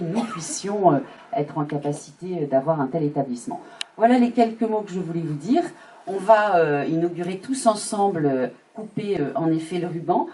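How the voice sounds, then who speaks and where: A woman giving a formal, semi-improvised public speech as a local official, halting and often searching for words. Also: deep voice, warm tone. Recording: distant microphone.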